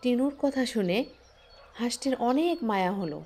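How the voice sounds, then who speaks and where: A woman narrating a homemade cartoon fairy tale in a plain, even storytelling manner. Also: fairly high pitch, normal speed. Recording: music playing behind.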